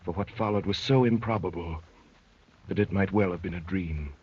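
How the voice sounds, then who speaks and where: A man acting a courteous, wry gentleman poet who narrates his strange adventure in measured, literary phrases, in 1930s radio drama style. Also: low voice, husky. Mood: grave, wondering. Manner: measured, literary, dryly polite. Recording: muffled, worn 1930s radio recording.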